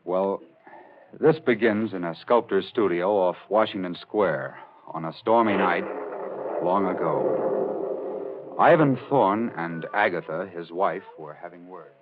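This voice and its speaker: An actor playing a haunted, hard-boiled newspaper reporter who narrates with halting, dramatic pauses in 1940s radio drama style. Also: male voice, sits low, very noisy. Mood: grave. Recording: muffled old radio broadcast with music.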